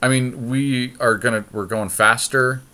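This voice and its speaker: A man's voice: mid-range voice, steady tone, a little slow, bright, forceful tone. A man co-hosting a card game podcast, thinking aloud in a casual, analytical way as he talks through his options step by step.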